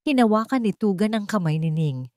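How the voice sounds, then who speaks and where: A woman narrating a scripted romantic drama story in a dramatic, measured storytelling manner with emphatic short phrases. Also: mid-range voice, lively intonation, darkish tone, no echo, studio quality.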